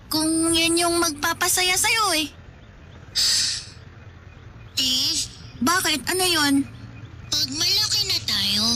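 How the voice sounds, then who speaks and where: A woman's voice: very high voice, heavy background noise, full character voice. A woman voicing an earnest, pleading young girl in a radio drama. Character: young girl waiting by the phone for her friend. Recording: loud music underneath.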